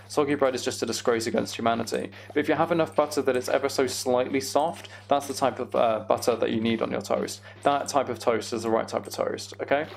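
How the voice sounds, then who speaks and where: A man streams a game and chats casually as he goes. His voice has a gravelly, fry-edged texture at an ordinary male pitch. He rambles with playful exasperation, and light noise sits behind him. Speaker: game streamer hosting a live chat stream. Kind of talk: casual gaming commentary and chat.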